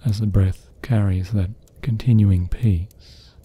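A man with a lowish, very mellow and dark voice guides a sleep meditation. His tone is husky and breathy, hushed and soothing. He delivers each phrase gently and leaves long pauses. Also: fairly even intonation. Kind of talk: guided sleep meditation, slow scripted narration.

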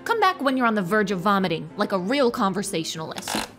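A woman's voice: medium pitch, sing-song, quick pace, a bit bright, faint music behind. An actress playing a blunt, sardonic, hard-drinking woman in an audio drama.

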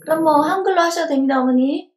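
A woman's voice: sits high, fast. A Korean woman teaching an online lesson conversationally, encouraging her students and prompting them with questions. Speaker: online English teacher, host. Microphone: video call. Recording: roomy video-call sound.

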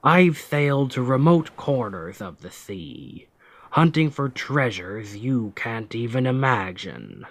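A man playing a stern, commanding pirate captain with gruff protectiveness in an ASMR roleplay, speaking deliberately and with authority. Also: very animated, no room sound, heavily acted. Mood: stern, assured. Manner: slow, deliberate, authoritative, phrases trailing low.

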